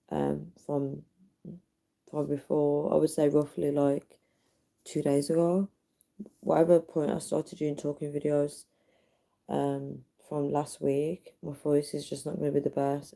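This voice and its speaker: A tired woman, her voice nearly gone, speaking softly and earnestly as she chats with viewers on a live stream from her home at night. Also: low voice, creaky. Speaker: livestreamer. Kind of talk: casual live chat reading viewer comments. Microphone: built-in device mic, no dedicated microphone.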